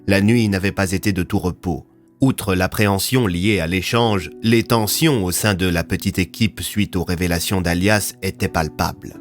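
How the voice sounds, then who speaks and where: A man narrating his audio fiction from a script as its author. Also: sits low.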